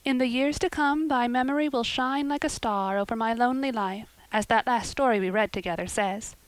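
A woman's voice: fairly high pitch, a little fast, no room sound, voice pushed up, close to an everyday voice, low-quality recording. A woman voicing a romantic, dramatic young girl in an audiobook, speaking earnestly in flowery, old-fashioned phrases. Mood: solemn devotion. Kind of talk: audiobook character voice.

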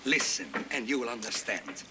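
A male actor plays a Greek seafaring man in a TV drama, warm and whimsical. His accented speech is expressive and widely varied in pitch, like a born storyteller's. Clear outdoor noise surrounds the voice. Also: moderate pace, close to an everyday voice. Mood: solemn, wistful. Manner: expressive, theatrical, storytelling delivery. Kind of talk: acted television drama dialogue. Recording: noisy outdoor waterside ambience.

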